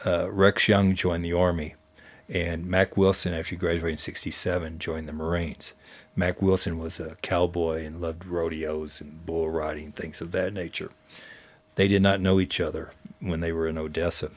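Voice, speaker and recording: A man telling stories conversationally as a guest, speaking in a halting way with frequent pauses. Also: low-pitched, level tone. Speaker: guest storyteller and history teacher. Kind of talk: conversational storytelling.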